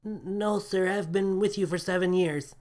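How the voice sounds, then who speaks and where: A timid little spaceship crewman voiced by a male narrator, pitched well up high. He sputters and stammers meekly, always deferential to his superior, in storybook sci-fi style. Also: fairly even intonation, normal speed, darkish tone, natural voice. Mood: flustered, embarrassed. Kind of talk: dramatized sci-fi audio storybook.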